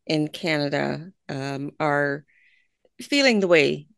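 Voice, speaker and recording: A woman hosting a show, interviewing a guest and asking questions in a halting way with pauses and fillers. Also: deep voice, expressive, vocal fry, fairly bright tone, clear, resonant tone, dry recording, studio quality. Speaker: radio show host.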